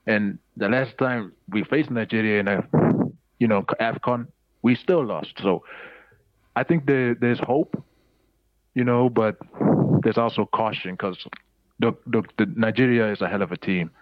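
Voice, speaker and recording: A man talking football with friends in casual chat, excitable and emphatic, swearing freely. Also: on the low side, a little slow, no echo. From South Africa. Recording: dry, dull lo-fi audio.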